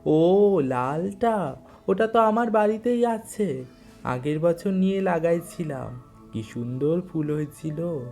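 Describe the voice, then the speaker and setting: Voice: medium pitch, lively intonation, slow, vocal fry, darkish tone, faint music behind, pitched above the performer's own voice. A narrator voicing an elderly village woman in a ghost story, coaxing and familiar, with a North Bengal dialect accent. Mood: coaxing, inviting. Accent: North Bengal (Jalpaiguri). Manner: rustic dialect, beckoning, familiar address. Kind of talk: audio ghost story character.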